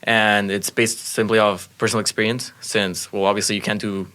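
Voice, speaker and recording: A man's voice: on the low side, little pitch movement, brisk, bright, forceful tone. A teenage boy whose first language is Spanish, earnestly explaining things in a casual student podcast chat. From Mexico. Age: teens. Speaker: student speech competitor.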